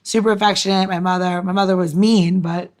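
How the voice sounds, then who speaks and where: A woman's voice: lowish voice, fairly even intonation, brisk, a little mellow. A woman co-hosting a podcast, blunt and candid with lots of laughter. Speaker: podcast co-host. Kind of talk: casual chat.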